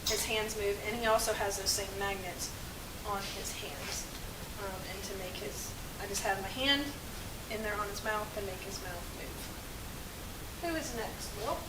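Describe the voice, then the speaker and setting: A woman's voice: medium pitch, a little slow. A woman puppeteer giving an informal demonstration to an audience, explaining as she goes. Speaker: puppeteer presenter. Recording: roomy, distant mic with hiss and noise.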